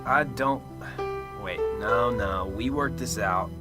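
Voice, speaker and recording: An actor playing a cynical, brusque big-city detective in a radio drama, gruff and terse. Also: male voice, mid-range voice, slow, over music, natural voice. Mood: agitated, defensive.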